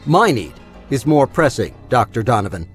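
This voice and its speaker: An actor playing a bitter, wealthy old miser in an audio drama, speaking with menacing politeness. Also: male voice, mid-range voice, very animated, normal speed, soft background music, lightly acted. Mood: coolly menacing. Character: cold, bitter rich old miser holding captives.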